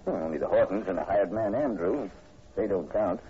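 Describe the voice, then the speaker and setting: A man's voice: sits low, fairly quick. An actor playing a skeptical state police sergeant in a 1940s radio horror drama, folksy and matter-of-fact in his delivery. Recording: muffled, hissy old radio recording.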